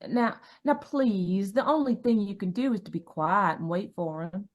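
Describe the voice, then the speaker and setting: A woman acting in a radio mystery as a gentle, reassuring dead wife, soothing and polite. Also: mid-range voice, normal speed, lo-fi sound, natural voice.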